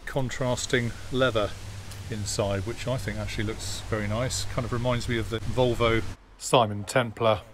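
A man's voice: fairly low pitch, normal speed, hardly any pauses. Man chatting about cars outdoors. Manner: relaxed, hesitant with many fillers. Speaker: classic car vlogger. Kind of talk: casual walk-around commentary. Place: outdoor car park by a workshop. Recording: outdoor phone video with clear background noise. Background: jet washer and outdoor noise.

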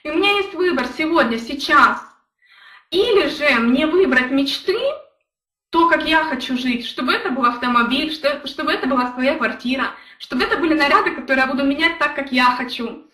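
A woman's voice: high voice, a little fast, bright, forceful tone, reverberant, recorded from a distance. A young woman from Ukraine giving an earnest motivational talk on a live stream, telling her own story and speaking to viewers in the chat. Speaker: network marketing leader.

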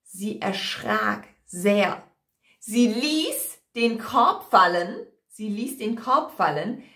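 Very slow, animated young woman storyteller. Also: mid-range voice. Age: young adult. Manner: deliberate, clearly expressive, repeats simply. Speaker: German teacher. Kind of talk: slow storytelling lesson for language learners. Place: small indoor room at home. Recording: roomy indoor echo.

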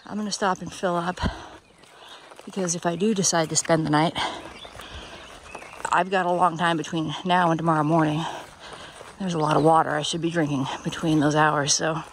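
A woman's voice: lowish voice, noisy background. A woman in her fifties talking casually to a phone camera on a long-distance hike, chatty with fillers and restarts. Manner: chatty, plain-spoken, many fillers and restarts. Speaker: thru-hiker vlogger. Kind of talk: casual trail vlog to camera. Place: outdoors on a mountain meadow, later inside a small tent. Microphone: phone held at arm's length.

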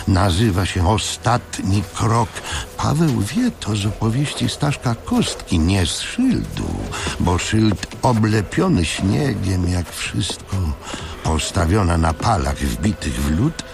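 A man reading literary fiction as a dramatic audiobook narrator, in deliberate, short broken phrases. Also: low voice, vocal fry.

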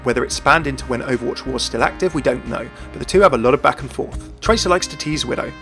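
A man's voice: medium pitch, fast talker, creaky, a bit bright, faint music behind. A man hosting a video, reading scripted commentary on a video game. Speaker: video host.

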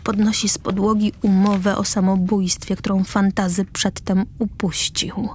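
A woman's voice: mid-range voice, level tone, moderate pace, slight background noise. A woman reading stage directions as the narrator of a scripted radio play.

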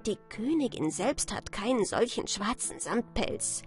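A woman voicing a bossy, scolding female field mouse in a storybook, brisk and insistent. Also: on the high side, very animated, faint music behind, voice pushed up.

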